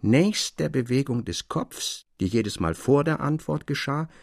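Husky, thoughtful literary storyteller. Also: male voice, mid-range voice, dry recording, clean studio sound, full character voice. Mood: composed, intriguing. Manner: measured, formal, expressive. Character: thoughtful literary storyteller relishing eerie tales. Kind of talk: scripted literary narration.

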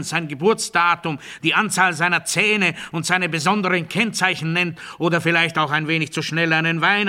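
Sharp-voiced, obsessive doctrinaire. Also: male voice, high voice. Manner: deliberate, declamatory, swinging from hushed confiding to strident proclamation. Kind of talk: literary radio play monologue.

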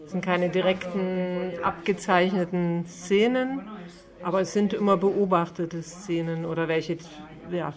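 A woman's voice: lowish voice, steady tone, measured pace. A woman artist answering questions casually in an interview recorded in a shop. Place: small shop or gallery indoors. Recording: busy background chatter, recorded on location.

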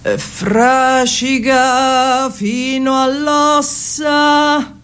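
Slow, very high Roman woman singer. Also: little pitch movement, fairly bright tone, clear, resonant tone, faint music behind. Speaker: singer, radio guest. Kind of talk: slow live solo singing. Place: radio studio.

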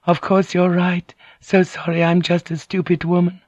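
A man voicing a soft, apologetic Japanese noblewoman who is cunning and deferential in an audiobook. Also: fairly high pitch, normal speed, no echo, lo-fi sound, voice pushed up. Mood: penitent. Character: penitent, cunning Japanese noblewoman, flattering and meek. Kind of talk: audiobook character acting.

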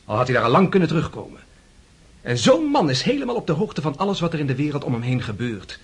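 An actor playing a composed, earnest young prince and officer in a radio drama, speaking in a husky, measured, reasoning way, courteous but firm. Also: male voice, on the high side, very animated, heavily acted.

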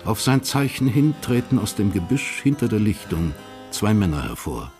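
A man with a husky voice narrating a radio drama in a sober, matter-of-fact way. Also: on the low side, sing-song, normal speed, a little mellow, faint music behind.

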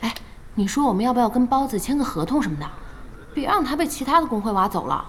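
An actress playing a spirited, outspoken young female boss in a radio drama, with a brisk and expressive manner. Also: on the high side, a little hiss or hum. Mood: excited. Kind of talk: Chinese radio drama acting.

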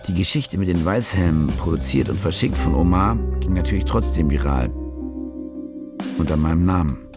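A man's voice: deep voice, animated, moderate pace, over music. A man acting in a radio drama as a cynical, rattled German war reporter who also narrates his story. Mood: sober narrating.

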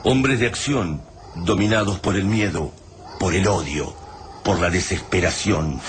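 An Argentine actor narrating a grave war story for a radio drama in a solemn, literary way. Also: male voice, sits low, measured pace, over a noisy crowd. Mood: tense, solemn. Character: grave narrator of a wartime invasion tale. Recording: music behind the voice.

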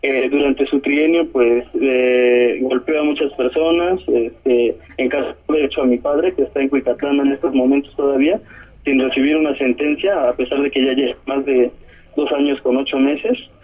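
A man from Oaxaca with a southern Mexican accent gives a halting spoken testimony by telephone, often adding short tag questions. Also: mid-range voice, fairly even intonation. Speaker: detained community activist giving a statement. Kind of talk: spoken testimony by phone to a press conference. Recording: muffled, narrow-band telephone line.

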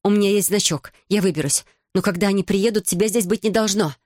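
A narrator voicing a brisk, commanding woman ally who speaks urgently in a thriller audiobook. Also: medium pitch, no echo, clean studio sound, close to an everyday voice.

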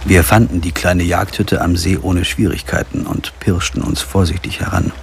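Deep, gravelly wry narrator. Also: male voice, fairly even intonation, moderate pace, a little hiss or hum. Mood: grim. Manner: wry, literary storytelling. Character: wry, weary lawyer narrating his own crime story. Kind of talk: scripted first-person radio drama narration.

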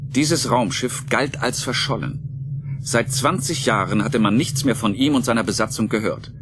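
A man narrating a radio play with solemn, dramatic and deliberate storytelling. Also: medium pitch, noisy background.

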